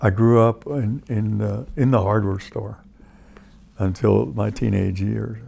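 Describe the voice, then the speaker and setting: A man in his eighties, a longtime resident, recalling his childhood in a halting, storytelling way. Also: on the low side, steady tone, creaky, dark tone. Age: 80s. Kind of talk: interview recollection.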